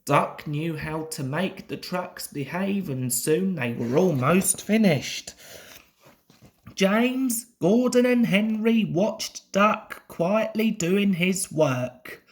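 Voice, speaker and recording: Slow, animated woman storyteller. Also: fairly low pitch. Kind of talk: scripted children's story narration.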